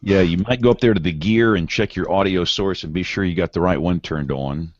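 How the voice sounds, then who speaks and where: A man's voice: deep voice, brisk, muffled recording. A man hosting a weather podcast in a studio, with loose, laughing banter. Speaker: podcast host. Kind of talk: casual chat and show opening. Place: broadcast studio.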